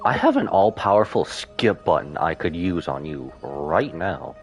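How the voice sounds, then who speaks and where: A man's voice: low voice, lively intonation, measured pace, soft background music. A man streaming a game, reacting casually with dry, grumbling asides. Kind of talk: live reaction commentary, casual.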